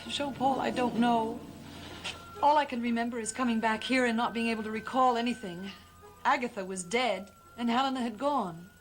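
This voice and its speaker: An actress playing a haunted, earnest heroine struggling with her memory in a gothic television soap, with intense, halting delivery. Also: on the high side, faint music behind, close to an everyday voice, low-quality recording. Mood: troubled.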